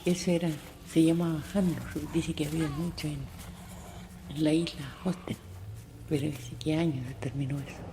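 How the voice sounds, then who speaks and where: An elderly woman from the far south of Chile with a southern Chilean accent, telling traditional stories in a halting, unrehearsed way. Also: low voice, fairly even intonation. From Tierra del Fuego, southern Chile. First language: Yagán. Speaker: storyteller. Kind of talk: oral storytelling, unrehearsed. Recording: very noisy archive field recording.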